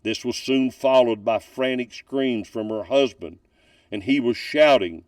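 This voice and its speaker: A man reading a ghost story aloud from a book as a storyteller, with deliberate, dramatic emphasis. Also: medium pitch, dry recording, distant mic. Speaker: narrator reading ghost stories.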